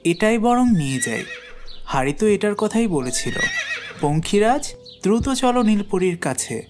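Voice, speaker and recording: A woman playing a brave, earnest young boy in an animated fairy tale, speaking with determined, declamatory delivery. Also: medium pitch, a little slow, music in the background. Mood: eager.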